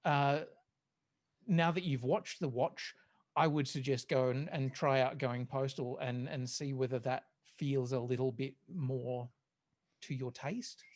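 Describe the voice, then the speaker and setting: Casual discussion-show panellist, medium pitch, hesitant and halting. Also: fairly even intonation. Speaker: podcast panellist.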